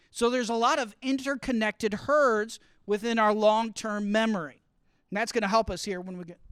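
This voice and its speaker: A man giving a lively teaching talk to students, often asking the room questions as he explains. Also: very high-pitched, clean tone, dry recording, studio quality. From grew up partly in Costa Rica. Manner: asks the audience questions, explains with extended metaphor. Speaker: college speaker teaching study skills. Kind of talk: interactive classroom talk.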